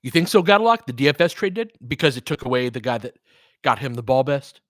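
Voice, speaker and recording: Male host for fan-side basketball play-by-play. Mid-pitched, conversational and informal, dry recording with no room sound. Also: very animated, fast talker, dry recording. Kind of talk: casual live basketball commentary, chatting with listeners.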